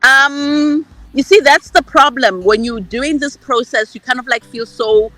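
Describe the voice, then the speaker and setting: A woman's voice: high voice, unhurried, no room sound, low-quality recording. A woman in her thirties from South Africa with a South African accent, candidly telling her story as an interview guest. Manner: bright, candid, repeats words.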